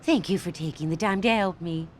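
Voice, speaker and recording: An actress playing a caring elderly aunt in a video game, gentle and fussing. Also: female voice, lowish voice, a little fast, slight background noise, close to an everyday voice. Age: older.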